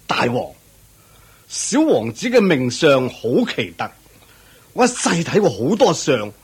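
A man playing a humble, solemn elderly holy seer in a radio drama, grave and deliberate. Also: on the high side, big pitch swings, breathy edge, full character voice. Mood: respectful, amazed. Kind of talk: Cantonese radio drama acting.

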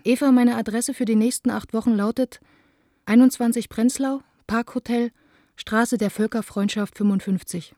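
Woman playing a mellow, reflective young letter writer. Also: mid-range voice, dry recording, clean studio sound, natural voice. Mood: confiding. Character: Anna, young woman theatre director writing letters, lost, wry. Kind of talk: radio drama, intimate letter reading.

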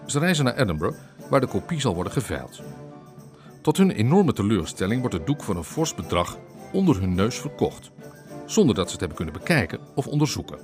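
A man's voice: medium pitch, sing-song, fairly quick, faint music behind. A man narrating a radio drama from a script as its storyteller.

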